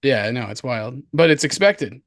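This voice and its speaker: Hoarse man, dry close sound, casual co-host commentary, slangy, repeats himself, excitable. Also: medium pitch, moderate pace, dry recording. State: hoarse from coughing. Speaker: crypto show co-host. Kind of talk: casual chat, market commentary.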